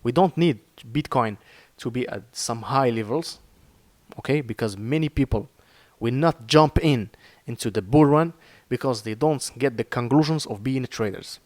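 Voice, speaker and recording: A man from Morocco with a Moroccan accent, whose first language is Arabic, giving an earnest, halting lesson on trading with many fillers and restarts over his screen. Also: mid-range voice, darkish tone, dry recording. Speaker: crypto trading teacher. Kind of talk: informal screen-share lesson.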